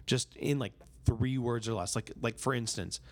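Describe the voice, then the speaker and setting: A man's voice: mid-range voice, fairly even intonation, moderate pace. A man co-hosting a podcast while sick, talking in a scratchy voice in a rambling casual chat. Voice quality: scratchy, gravelly. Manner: rambling, self-interrupting, laughs easily. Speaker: podcast co-host.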